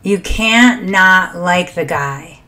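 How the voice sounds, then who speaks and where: A middle-aged woman hosting a reaction video, chatting to camera in a low, quiet voice from a small home room. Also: animated, very slow. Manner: soft-spoken, laughs between thoughts. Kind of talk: casual chat to camera.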